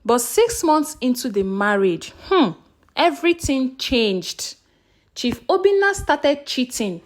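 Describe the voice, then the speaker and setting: A woman tells a folktale with dramatic expression. Her voice sits very high and moves widely in pitch as she narrates. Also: sing-song, takes it slowly. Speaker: storyteller.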